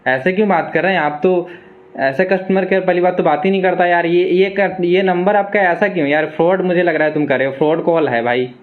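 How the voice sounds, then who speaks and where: A man's voice: high voice, steady tone, brisk. An insistent man in an argumentative phone conversation who keeps repeating his point. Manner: repetitive, insistent. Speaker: video creator recording a live phone call with a suspected scam caller. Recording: thin phone-like sound.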